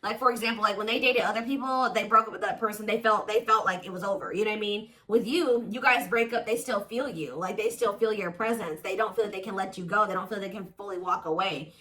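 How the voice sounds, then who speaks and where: A woman's voice: mid-range voice, fast, few pauses, bright, forceful tone, rough recording. A woman giving a casual, intuitive tarot reading to viewers, speaking informally and with emphatic assurance. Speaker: tarot reader, host.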